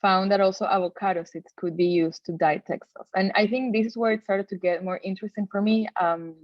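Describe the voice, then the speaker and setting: A woman from Venezuela with a Venezuelan Spanish accent gives an informal presentation in a halting, conversational way, often correcting herself. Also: mid-range voice, steady tone, no room sound, muffled recording. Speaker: textile designer giving a talk.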